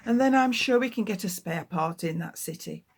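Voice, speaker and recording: Woman as calm, reassuring alien dad, storybook. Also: mid-range voice, moderate pace, vocal fry, breathy edge. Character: reassuring alien father piloting a ship.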